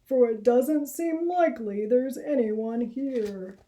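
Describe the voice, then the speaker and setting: A huge but timid young storybook rabbit, a polite boy, as a woman reader puts him on. He speaks high and slowly, stretching his words with emphasis. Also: mellow. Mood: uneasy. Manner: slow, emphatic, drawn-out.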